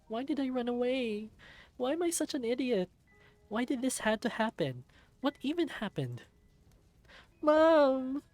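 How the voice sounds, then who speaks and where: A man's voice: very high-pitched, big pitch swings, measured pace, long pauses, darkish tone, soft background music, pitched above the performer's own voice, full character voice. A man voicing a young fox boy from a visual novel, desperate, frightened and defiant. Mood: anguished. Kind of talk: visual novel character acting.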